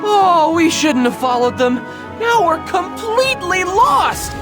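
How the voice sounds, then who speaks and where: A woman voicing a small, excitable young cookie character in a game cutscene, dramatic and exclamatory. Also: very high voice, slow, full character voice. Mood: dismayed. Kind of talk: animated game cutscene voice acting. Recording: music behind the voice.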